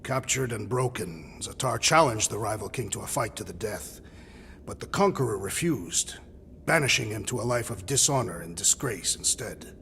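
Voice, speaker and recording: A man playing a solemn, honour-bound alien warrior in a video game cutscene, speaking gravely and formally. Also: lowish voice, lively intonation, moderate pace, bright, forceful tone, in a large hall, slight background noise, exaggerated voice. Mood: earnest, dignified. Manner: grave, formal, storytelling delivery without contractions.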